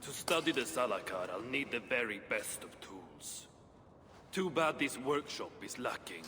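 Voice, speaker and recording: A man's voice: medium pitch, big pitch swings, a little slow, bright, forceful tone. An actor playing a sceptical, dry-humoured dwarf craftsman with a terse, dismissive way of talking in a video game. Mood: dryly mocking. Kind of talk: video game cutscene acting. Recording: long reverb, faint background noise.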